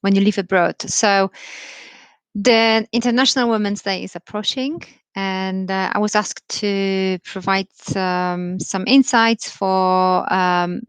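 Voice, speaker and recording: A woman's voice: medium pitch, steady tone, slow, clean tone, no echo. A woman in her thirties from Poland with a Polish accent, giving an informal live talk, thoughtful and full of ums and restarts. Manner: thoughtful, many fillers and restarts. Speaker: career speaker.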